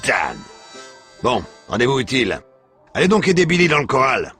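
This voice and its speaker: An actor playing a curt, bossy cowboy ranch boss in a cartoon comedy sketch. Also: male voice, medium pitch, big pitch swings, moderate pace, bright, forceful tone, faint music behind. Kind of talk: animated comedy sketch acting.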